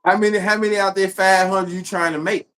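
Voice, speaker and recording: A man's voice: high-pitched, level tone, a little fast, a bit bright. A man with a Memphis Southern accent hosting a casual interview chat, responsive, with frequent short affirmations. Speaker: live-stream host, interviewer.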